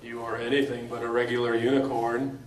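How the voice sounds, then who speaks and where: A man's voice: medium pitch, level tone, brisk, noisy background, lightly acted. A man reading the part of a sweet, caring pony princess in a comedic live dramatic reading. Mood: sweet, playful. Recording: echoey convention room, distant mic, crowd.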